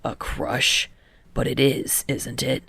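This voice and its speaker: A young male volleyball player acted by a woman narrator in an audiobook story, pitched well down and very creaky. He is soft-spoken and blunt, probing in a plain, straightforward way. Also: fairly even intonation, takes it slowly, dry recording, full character voice. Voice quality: soft, low, breathy. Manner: straightforward, understanding. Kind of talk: fan fiction audiobook dialogue.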